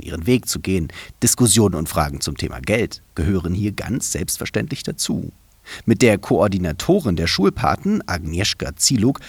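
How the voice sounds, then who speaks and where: Lively husky podcast host, man. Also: low-pitched, no room sound. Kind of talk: podcast interview and scripted intro.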